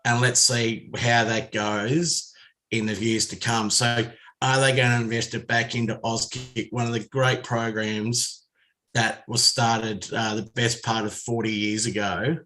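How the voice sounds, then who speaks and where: A man with an Australian accent, giving blunt opinions in casual sports talk on the radio, with many pauses and ums. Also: mid-range voice, level tone, bright, forceful tone, poor audio. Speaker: radio panelist. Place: radio studio.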